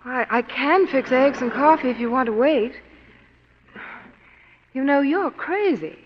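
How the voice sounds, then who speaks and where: Young heiress, emotional and pleading,, high and very lively pitch, dull 1940s radio sound. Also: female voice, unhurried, a little hiss or hum. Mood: teasing. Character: anxious, secretive young heiress protecting her father. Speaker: actress. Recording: muffled 1940s radio broadcast.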